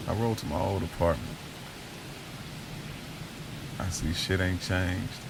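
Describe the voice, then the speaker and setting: An American man narrating an audio drama in the first person, in a gravelly voice and casual, slangy street vernacular. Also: low voice, fairly even intonation, slow pace, background noise. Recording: music bed under the voice. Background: music.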